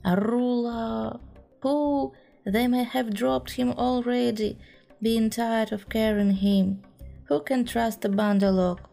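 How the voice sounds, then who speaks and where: A woman's voice: fairly high pitch, fairly even intonation, slow, creaky, mellow, soft background music. Woman playing a fretful old bear. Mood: anguished. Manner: lamenting, panting.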